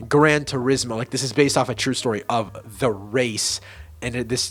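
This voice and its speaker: A man's voice: mid-range voice, fairly bright tone. A young man giving casual, opinionated movie-ranking commentary, enthusiastic and blunt, with frequent restarts and casual swearing. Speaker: movie reviewer.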